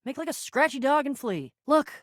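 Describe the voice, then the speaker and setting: A woman's voice: high voice, expressive, moderate pace, no echo, clean studio sound. A woman voicing an eager, quest-loving young female adventurer in a video game, slangy and prone to stammering. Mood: hurt, cold. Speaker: voice actor in a dating game. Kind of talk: scripted video game character lines.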